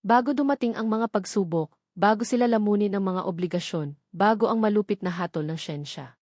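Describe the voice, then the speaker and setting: A Filipino woman narrates a dramatic scripted story. Her voice sits at a middle pitch for a woman. The sound is close and dry, with very little room in it. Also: a little fast, a little mellow, dry recording.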